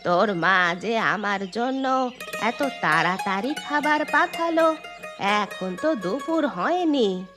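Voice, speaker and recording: A woman voicing a frail, grateful old village granny in a cartoon, with slow, drawn-out, gently pleading speech. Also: medium pitch, animated, over music. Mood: gentle, pleading. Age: older. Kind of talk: cartoon voice acting.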